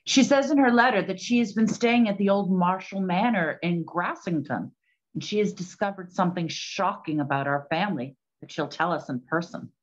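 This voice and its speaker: A woman's voice: lowish voice, normal speed, natural voice. A woman playing a proud, matter-of-fact lady detective of the 1920s in a radio-style play. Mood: informative. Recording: dull, muffled lo-fi sound.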